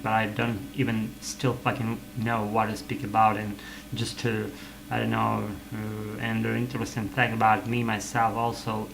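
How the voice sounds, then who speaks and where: A man whose first language is Russian, rambling in English in a vlog from his apartment, halting with many fillers and self-corrections. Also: fairly low pitch, creaky, background noise. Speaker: vlogger. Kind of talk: rambling English practice monologue.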